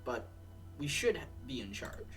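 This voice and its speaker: A man's voice: mid-range voice, very animated, measured pace, low-quality recording. A man playing a deliberating, reform-minded president in a political game, with hesitant starts to his statements. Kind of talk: role-played political game dialogue. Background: prominent music.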